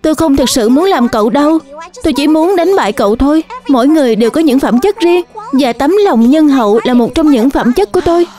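A woman voicing an earnest, gentle but determined young superhero girl in a dubbed children's cartoon. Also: sits high, animated, fairly quick. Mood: gentle, firm.

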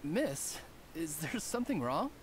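A man's voice: fairly high pitch, big pitch swings, measured pace, slight background noise. A man acting a shifty young male bandit posing as a merchant in a video game, with an indignant, sneering manner. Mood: falsely friendly.

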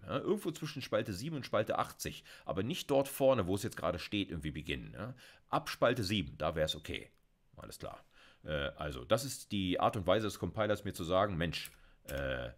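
A middle-aged man from Germany giving a recorded programming lecture from a small home office, talking casually as he types and explains code, with frequent fillers. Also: low-pitched. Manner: conversational, explains while typing, many fillers. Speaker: university lecturer.

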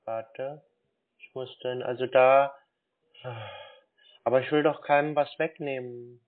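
A man in a casual phone conversation, talking in a naive, slow-witted, stalling way like a confused customer. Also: medium pitch, flat delivery. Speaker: prank caller posing as a naive customer. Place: phone call. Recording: tinny band-limited phone line.

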